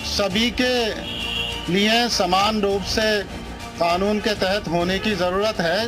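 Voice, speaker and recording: A man giving a statement as a local interviewee, halting and formal in his phrasing. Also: high-pitched, steady tone, music in the background.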